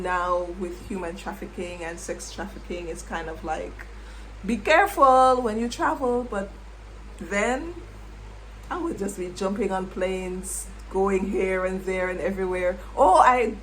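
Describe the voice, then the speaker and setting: A middle-aged woman, a former English teacher, reflecting on her past in an unhurried way and repeating words as she thinks. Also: mid-range voice, slight background noise, poor audio. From Jamaica. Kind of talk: reflective personal storytelling.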